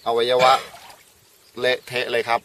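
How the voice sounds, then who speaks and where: A man's voice: medium pitch, a little slow, slight background noise. A middle-aged man explaining his catch in a casual fishing commentary, recorded outdoors on a small boat on a marsh with an action camera. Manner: excited exclamations while fighting fish, calm explaining after. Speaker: fishing vlogger. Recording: background music.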